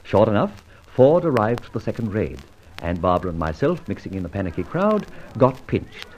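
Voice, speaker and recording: An actor playing a suave, flippant gentleman adventurer in an old-time radio serial, with breezy old-fashioned slang. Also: fairly low pitch, big pitch swings, moderate pace. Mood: brisk narration. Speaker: narrator and lead actor. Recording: muffled vintage radio recording, faint noise.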